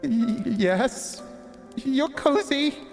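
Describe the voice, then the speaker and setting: A shy young servant girl from a visual novel, played by a man, lifted very high above his own voice. The delivery is shaky, stammering and smitten, with music underneath. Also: lively intonation, drawn-out words, vocal fry, long reverb tail, heavily acted. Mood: nervous.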